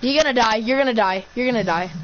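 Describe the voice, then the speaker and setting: A man's voice: very high voice, quick pace, lo-fi sound, noisy background. A teenage boy streaming a shooter game, teasing and trash-talking his friend in casual banter.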